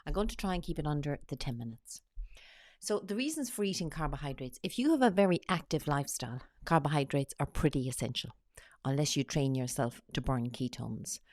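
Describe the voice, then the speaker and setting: A woman talks through a slide presentation. She has a cold and explains each point in a steady, teacherly way. The sound is close and dry, with no room around it. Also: low voice, no room sound. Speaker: weight-loss coach.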